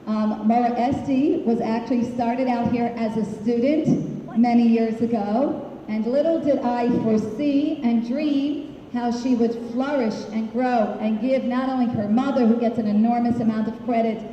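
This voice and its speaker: A woman's voice: on the high side, steady tone, normal speed, highs cut off, slight background noise. A woman hosting a school ceremony who introduces the teachers with long lists of praise and a light joke. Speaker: school director and ceremony host. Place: hall. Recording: very echoey hall.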